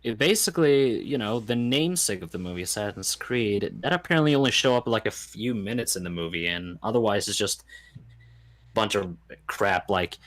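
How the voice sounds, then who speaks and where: A man's voice: on the low side, expressive, moderate pace, a bit bright. A man chatting casually as a podcast guest, explaining details and making corrections. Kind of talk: casual chat.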